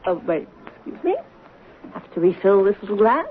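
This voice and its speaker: An actress playing a bitter, tipsy out-of-work actress in a 1970s radio drama, sardonic and mocking. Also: female voice, mid-range voice, big pitch swings, natural voice. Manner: mocking, deliberate. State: tipsy, as acted. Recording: muffled, noisy vintage radio broadcast.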